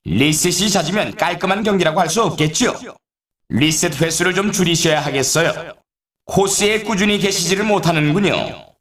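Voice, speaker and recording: An actor playing an excitable, teasing male snowboard race announcer in a video game, with polite, formal phrasing and playful sarcasm. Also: high voice, normal speed, echoey room, far from the mic. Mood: teasing, critical. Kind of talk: scripted game sports commentary.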